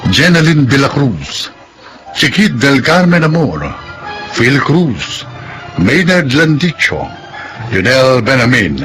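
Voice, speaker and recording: Slow, animated Filipino male announcer. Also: medium pitch, faint music behind, rough recording. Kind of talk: scripted radio drama narration and credits.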